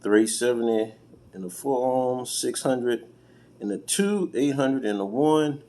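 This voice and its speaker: A man's voice: medium pitch, unhurried, dark tone. An American man chatting casually and informally as he does a hands-on product unboxing, with a folksy, colloquial way of talking. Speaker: vlogger unboxing and reviewing car audio gear. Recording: clearly noisy home-video recording.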